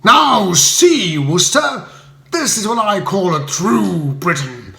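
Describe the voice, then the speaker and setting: A blustering, domineering lord from a comic storybook, as played by a male reader. He declaims pompously and pitches his voice high. The sound is noticeably roomy. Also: big pitch swings, slow pace, vocal fry, sharp tone, full character voice. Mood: scornful.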